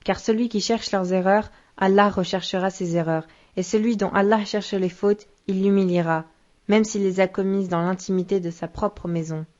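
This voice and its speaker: Actress as female religious teacher, solemn, patient, didactic, medium pitch, cartoon lesson, muffled sound. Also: fairly even intonation, normal speed, a little mellow, natural voice. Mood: patient, instructive.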